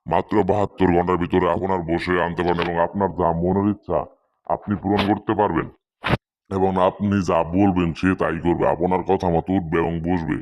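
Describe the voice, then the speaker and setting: A man hosting as a self-styled guru, delivering a scripted promotional pitch to viewers in an emphatic, repetitive way. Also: little pitch movement, a little fast, darkish tone, a little hiss or hum. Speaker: channel host, self-styled tantric guru.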